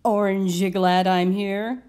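A woman's voice: mid-range voice, fairly even intonation, takes it slowly, darkish tone, smooth, pitched below the performer's own voice, natural voice. A woman voicing a scornful, bickering crayon in a children's storybook, haughty and argumentative. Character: scornful, bickering orange crayon, sure it's right. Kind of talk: children's storybook read-aloud.

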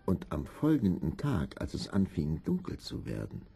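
A man's voice: low voice, wildly swooping pitch, moderate pace, creaky, over music. A man narrating a scripted fairy tale as a storyteller.

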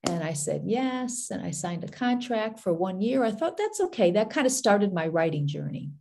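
A woman's voice: medium pitch, sing-song, moderate pace, vocal fry, darkish tone, slight background noise. A woman talking reflectively on a group video call, rambling through a personal story.

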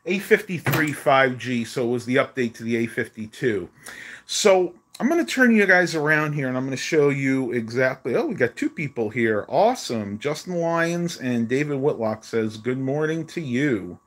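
A man's voice: medium pitch, animated, moderate pace, a bit bright. A man, chatting casually on a home live stream while losing his voice, rambling with many ums, filmed on a phone at arm's length in a small bedroom. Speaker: vlogger. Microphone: phone held at arm's length.

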